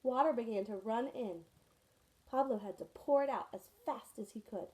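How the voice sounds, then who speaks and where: Mellow-voiced woman reading a picture book. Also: fairly high pitch, moderate pace, poor audio. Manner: warm, expressive storytelling with friendly asides. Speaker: teacher reading to her students. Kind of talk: children's picture book read-aloud.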